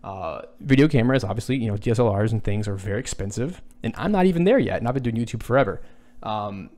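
A man in his thirties with a low, deep-set voice talks through viewer questions on a boom microphone in a small home studio. He thinks aloud as he goes, stopping to restart phrases and filling gaps with ums. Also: lively intonation, fast, a little mellow. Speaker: music composer answering viewer questions. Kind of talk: casual livestream Q&A. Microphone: boom studio microphone, headphones on.

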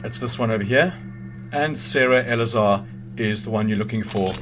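A man's voice: lowish voice, moderate pace. A man giving casual, informal walkthrough commentary on a video game, thinking aloud and correcting himself as he goes. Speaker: gamer hosting a video game guide. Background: video game music and sounds.

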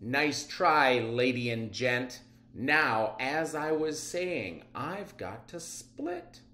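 A man's voice: mid-range voice, expressive, extremely slow, sharp tone, echoey room, full character voice. A man voicing a gleeful, theatrical female shape-shifting villain who taunts the police in a comic book. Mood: smug. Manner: theatrical, gloating.

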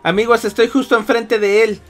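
A man hosting a gaming roleplay, chatting excitedly and repeating phrases urgently. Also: very high voice, a little fast, faint music behind. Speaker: gaming video host and player.

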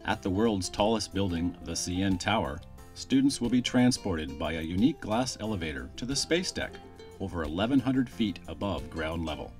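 A man reading a scripted promotional voice-over in a smooth, even delivery. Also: low voice, moderate pace, faint music behind. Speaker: promo narrator.

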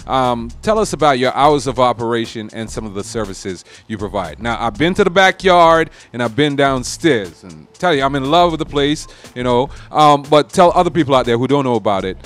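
A man's voice: mid-range voice, animated, fairly quick, hardly any pauses, fairly bright tone, faint music behind. A middle-aged man hosting a TV show, playful and sing-song, talking into a handheld mic indoors. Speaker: TV show host. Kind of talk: energetic TV host chat. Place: bookstore cafe indoors.

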